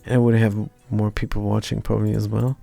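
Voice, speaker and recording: Gravelly German man muttering while coding. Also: lowish voice, flat delivery, quick pace, warm tone, soft background music. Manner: self-deprecating, wry, mutters half-finished lines. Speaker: live-streaming coder. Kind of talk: thinking aloud while coding, chatting with viewers.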